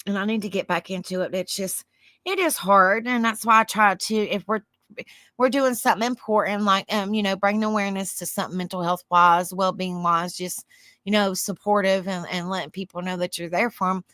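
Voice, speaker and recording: A woman with a Southern US accent and a soft, soothing voice hosts a livestream. She chats in a rambling way, reads aloud and answers viewer comments. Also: mid-range voice, fairly even intonation, a little fast, no echo. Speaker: livestream host. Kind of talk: casual livestream chat, reading aloud.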